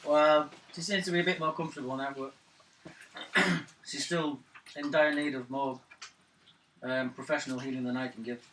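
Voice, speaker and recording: A man's voice: medium pitch, fairly even intonation, moderate pace, long pauses, fairly bright tone. A man bantering casually as a player in a tabletop role-playing game. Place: room around a gaming table. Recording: roomy, distant mic, faint noise.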